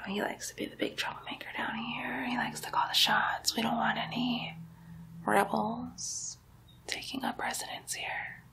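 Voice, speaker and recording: A woman voicing a playful, sly female demon doctor in an ASMR medical roleplay, whispering softly in a slow, teasing, lightly menacing way. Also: on the high side, heavily acted. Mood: coy, chatty. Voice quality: soft breathy whisper.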